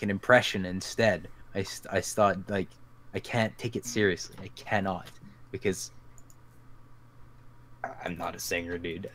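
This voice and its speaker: A man with a fairly low voice hosts a loose podcast chat over a voice call. He rambles, swears freely and pokes fun at himself. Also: slight background noise. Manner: profane, rambling, self-mocking. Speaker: podcast host.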